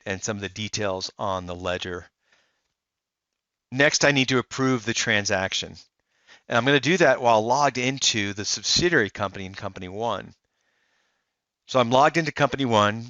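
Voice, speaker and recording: A man walking through a software demo in a relaxed, explanatory way, with frequent "uh" fillers. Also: lowish voice, dry recording. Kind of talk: screen-recorded software walkthrough. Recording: dull dry voice-over.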